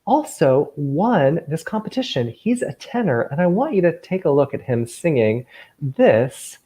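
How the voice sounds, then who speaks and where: A man working as a camp counselor and host, chatting to children in an animated, enthusiastic, gushing way. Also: on the high side, unhurried. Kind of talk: lively chatty hosting for children.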